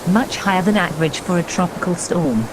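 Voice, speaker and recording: A woman's voice: on the low side, close to an everyday voice. A woman playing a prim, short-tempered storm reporter in an amateur audio drama. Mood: composed, curt. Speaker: weather reporter. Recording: steady rushing storm noise.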